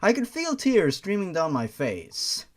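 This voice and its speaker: A man's voice: high voice, wildly swooping pitch, a little slow. A man hosting a fan fiction reading, narrating expressively and adding sardonic, incredulous asides.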